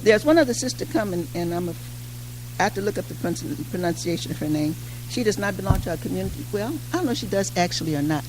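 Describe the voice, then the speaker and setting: An older woman moderating a community talk, speaking informally and haltingly, often repeating her phrases. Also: fairly low pitch, a little mellow, rough recording. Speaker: panel moderator. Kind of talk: informal public address. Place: community hall at a mosque. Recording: faint background noise and hum.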